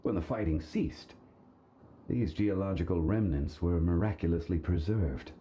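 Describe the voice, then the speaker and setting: An actor playing a composed, wise gentleman scholar in a video game, speaking formally and pensively. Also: deep voice, moderate pace, a little hiss or hum, lightly acted. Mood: scholarly, pensive.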